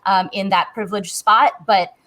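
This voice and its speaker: A woman in her thirties is talking as a guest on a panel. She tells her own story candidly, in an everyday conversational way, with a medium-pitched voice. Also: steady tone, moderate pace, pushed and bright. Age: 30s.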